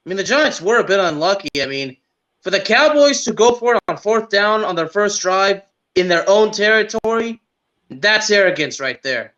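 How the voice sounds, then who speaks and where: Higher pitched guy chatting sports as a podcast co-host, kinda dull lo-fi audio. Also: expressive, normal speed, sharp tone. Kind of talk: casual sports commentary. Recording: dull, lo-fi sound.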